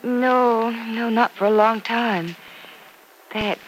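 An actress playing an excitable, warm-hearted sister in an old-time radio soap opera. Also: female voice, fairly high pitch, slow pace. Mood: concerned. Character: excitable, warm-hearted sister fretting over her missing brother. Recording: noisy vintage radio recording.

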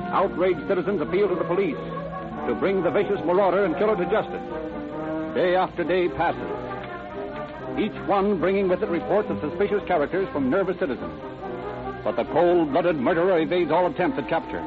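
A man's voice: sits high, lively intonation, brisk. A man narrating a classic radio crime drama in a grave, formal announcing manner. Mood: grave, dramatic. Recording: old radio, narrow band, music behind.

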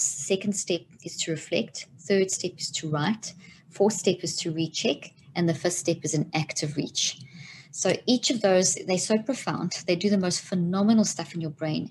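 Lively-talking woman explaining brain science. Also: lowish voice, a little hiss or hum, poor audio. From Zimbabwe and South Africa. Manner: enthusiastic, explanatory, emphatic. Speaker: guest neuroscientist. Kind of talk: explaining in an interview.

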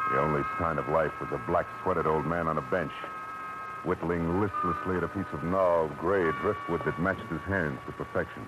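An actor playing a world-weary American private detective, narrating in clipped, slangy 1940s radio noir style. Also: male voice, sits low, close to an everyday voice, rough recording. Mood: brooding. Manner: hard-boiled, clipped, slangy. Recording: old radio drama with music bed.

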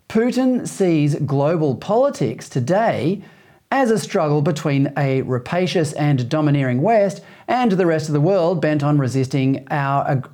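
A man's voice: a little high, darkish tone. A man with an Australian accent hosting a talk show, chatting with a guest and then reading news commentary. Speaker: host.

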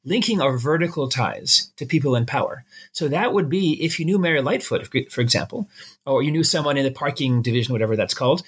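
A man's voice: medium pitch, animated, brisk, husky. A middle-aged man with an American accent, explaining things conversationally with everyday examples as an expert interview guest.

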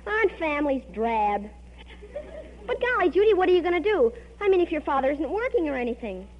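An actress playing a melodramatic, sympathetic teenage best friend in an old radio comedy. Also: female voice, sits high, big pitch swings, brisk. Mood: solemn sympathy. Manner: breathlessly sympathetic, theatrical. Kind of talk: 1940s radio comedy acting. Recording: muffled old radio with faint noise.

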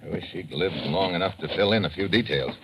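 An actor playing a brisk, tough, wry American investigator in an old radio drama. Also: male voice, low voice, noisy background. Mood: probing, urgent. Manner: brisk, informal, clipped. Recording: muffled old radio recording, loud music.

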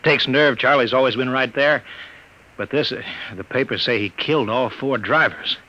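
An actor playing a jumpy, cowardly small-time gangster in a classic radio crime drama, stammering and pleading in slang. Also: male voice, medium pitch, moderate pace, sharp tone, poor audio. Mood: nervous, jittery. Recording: old radio recording, faint noise.

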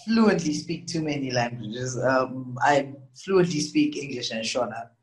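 A man's voice: mid-range voice, lively intonation, a little slow, poor audio. A man with a Zimbabwean accent, a musician guest speaking in a casual, conversational interview style.

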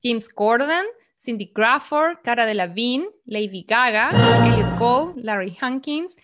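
A woman's voice: a little high, animated, a little slow, fairly bright tone, soft background music. A young woman with a Chilean accent, co-hosting a casual chat and chatting at length with many fillers. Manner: chatty, reads lists aloud, many fillers. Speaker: podcast co-host.

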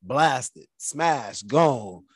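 A man's voice: mid-range voice, expressive, drawn-out words, slightly rough, dry recording, clean studio sound. A man co-hosting a podcast, telling self-mocking stories and laughing through them. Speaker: podcast co-host. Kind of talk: casual chat.

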